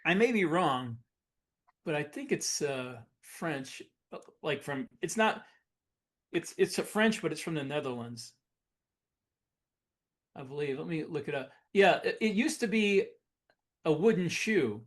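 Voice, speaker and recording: Male podcast co-host, voice a little on the high side, chatting casually and reworking sentences mid-thought. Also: animated, a little slow, long pauses. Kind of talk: casual chat.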